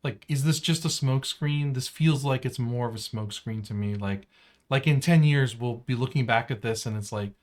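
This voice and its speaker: A man's voice: mid-range voice, normal speed. A man with an American accent co-hosting a podcast in casual, slangy banter peppered with "like" and "man". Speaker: podcast co-host.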